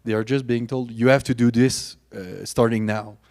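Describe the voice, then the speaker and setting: A man whose first language is French, a graduate student on a conference panel, answering lightly and mocking himself with quick laughs. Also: mid-range voice, steady tone, a little mellow. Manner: light, self-mocking, laughs between points. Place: conference room at a panel session.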